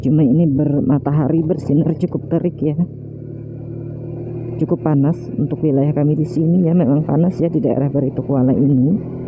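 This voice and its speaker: A man with a slightly high voice chats away to his viewers as he rides a motorbike, mixing in friendly asides. His voice comes through a tinny, narrow helmet mic. Loud road and engine noise fills the background. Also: steady tone, normal speed. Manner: chatty, often adds "teman-teman" and "ya". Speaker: motovlogger. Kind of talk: casual road commentary while riding. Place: on a motorbike on a rural road outdoors. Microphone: helmet or bike-mounted camera mic. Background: traffic and engine noise.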